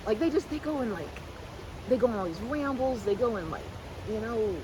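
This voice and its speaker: A woman's voice: a little high, expressive, a little fast, noisy background. A woman rambling casually and animatedly like a chatty best friend, slipping into mimicked quotes in a roleplay.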